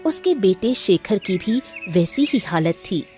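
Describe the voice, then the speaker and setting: A woman's voice: mid-range voice, sing-song, measured pace, darkish tone, soft background music. A woman reading scripted narration as the storyteller of a moral tale.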